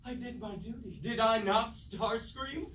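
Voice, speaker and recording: Casting: male actor as anguished robot warrior, tragic stage style, measured pace, distant echoing recording. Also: extremely high pitch, fairly bright tone. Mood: grave, mournful. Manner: declamatory, archaic poetic phrasing. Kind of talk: staged reading of mock Greek tragedy. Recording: distant roomy stage recording, faint noise.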